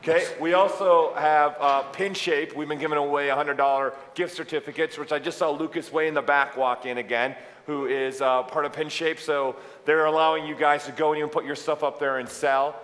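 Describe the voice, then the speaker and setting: A man's voice: a little high, steady tone, fairly quick, few pauses, fairly bright tone. A man hosting a live conference, chatty and joking as he makes announcements to the crowd. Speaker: event host. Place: large event hall with audience. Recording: echoey hall, faint room noise.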